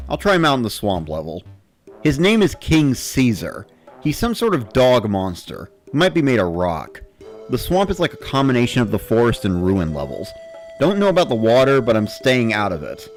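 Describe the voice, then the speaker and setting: A man's voice: fairly low pitch, big pitch swings, moderate pace, soft background music. A man reading a first-person story as a dramatic narrator, in the style of a gamer recounting play.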